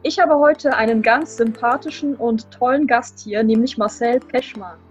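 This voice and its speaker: A woman's voice: a little high, moderate pace, faint music behind. A woman hosting a travel podcast, asking friendly, upbeat interview questions. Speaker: podcast host.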